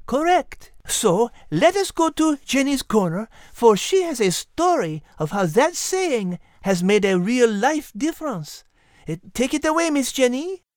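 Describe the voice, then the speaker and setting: A woman voicing a gentle, clever female cat with a French accent and a polite, encouraging manner. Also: fairly high pitch, sing-song, measured pace, no echo, studio quality. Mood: bright, gracious. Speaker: podcast co-host character. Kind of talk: scripted character banter.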